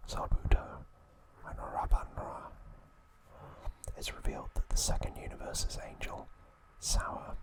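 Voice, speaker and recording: A man whispering an ASMR review at home, gentle and relaxed, with a soft unvoiced whisper. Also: a little slow. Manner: gentle, relaxed, with occasional fillers. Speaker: ASMR channel host. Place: indoors at home.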